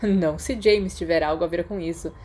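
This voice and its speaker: A woman with a Brazilian accent voicing a gentle, wry, soft-spoken teenage schoolboy in a storybook reading. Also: on the low side, steady tone, fairly quick, close to an everyday voice. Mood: amused.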